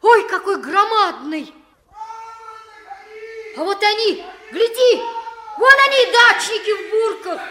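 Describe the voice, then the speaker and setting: An actress playing a bold, indignant schoolboy of about twelve in an old Soviet radio play, speaking in emphatic exclamations. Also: female voice, very high-pitched, animated, slow, pauses often, bright, forceful tone, a little hiss or hum, exaggerated voice. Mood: excited. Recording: old radio drama with music.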